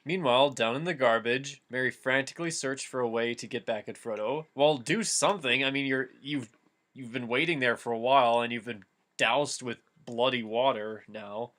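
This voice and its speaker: A man's voice: medium pitch, moderate pace, a bit bright. A man hosting a casual reading of fan fiction, switching between narrating the text and offhand commentary on it.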